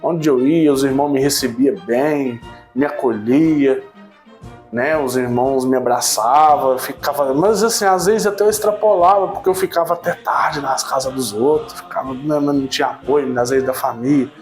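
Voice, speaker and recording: A man in his thirties tells his own church story in a casual, talkative way, rising with excitement and then dropping low and quiet. His pitch sits in the middle range for a man. Soft music plays faintly underneath. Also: moderate pace. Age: 30s. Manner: animated storytelling, frequent "né?" tags, swings between excited and subdued. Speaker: church member giving testimony. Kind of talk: casual personal testimony.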